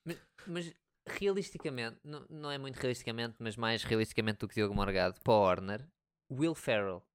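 Smooth-voiced European Portuguese man, dry sound. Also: mid-range voice, no room sound. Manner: quick agreeing quips and short asides. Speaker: podcast co-host. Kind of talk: casual chat.

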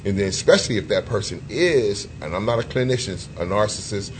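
Dude cohost with a lowish voice, loose and cussing, lots of you knows, faint hiss. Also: male voice, lively intonation, fairly quick. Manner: loose and profane, with many "you know" fillers and sudden pitch jumps. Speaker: co-host. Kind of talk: casual podcast discussion.

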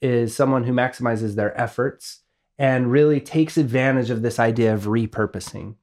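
A man's voice: mid-range voice, moderate pace, darkish tone. A man co-hosting a casual podcast, upbeat and enthusiastic as he explains ideas. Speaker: podcast co-host, audio and video producer.

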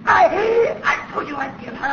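An actor playing a deranged, lonely killer who lives in a tunnel, menacing and paranoid, cackling and ranting in a 1930s radio thriller style. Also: male voice, extremely high pitch, very animated, exaggerated voice. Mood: frantic rage. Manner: ranting, repetitive, halting between outbursts. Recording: noisy, muffled old radio recording.

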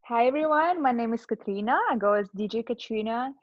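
A woman's voice: on the high side, a little fast, no room sound. A woman DJ, answering interview questions thoughtfully with many fillers. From Lithuania, raised in Russia. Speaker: guest DJ. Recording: dull dry lo-fi sound.

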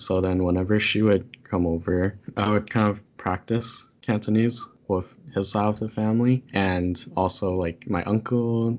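Soft-spoken young man, casual podcast chat. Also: on the low side. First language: Taishanese. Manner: relaxed, many fillers and self-corrections. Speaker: podcast co-host.

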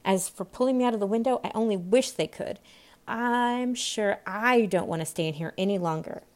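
An earnest young girl from a storybook, played by a woman, musing aloud to herself. She is childlike and quick to scold herself. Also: mid-range voice, close to an everyday voice. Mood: wondering. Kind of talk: storybook character voice.

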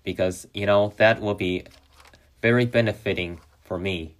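A young man whose first language is Chinese, hosting a solo podcast and talking in a halting way with many restarts. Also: on the low side, steady tone. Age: young adult. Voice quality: halting, with frequent restarts and fillers. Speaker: podcast host.

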